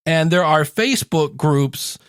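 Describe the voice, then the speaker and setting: A man with a fairly high-pitched voice talks as a podcast host and coach. He is conversational and animated, slipping into quoted asides to illustrate his advice. The recording is close and dry, with little room sound. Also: measured pace, clear, resonant tone, no echo. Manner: animated, acts out quotes to make points.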